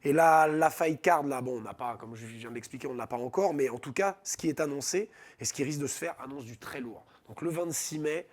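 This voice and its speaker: A man's voice: mid-range voice, fairly quick, hardly any pauses. A man with a Belgian French accent, an MMA announcer, presenting a fight card with enthusiasm and lots of fillers. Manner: enthusiastic, hesitant with many fillers, listing names. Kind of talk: casual promotional talk.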